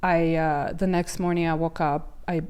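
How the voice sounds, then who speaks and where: A woman's voice: deep voice, level tone, moderate pace, a little mellow, clean tone. A woman from Ukraine sharing her personal testimony as a guest, telling it with emotion and many fillers and restarts. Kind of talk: personal testimony in a faith podcast.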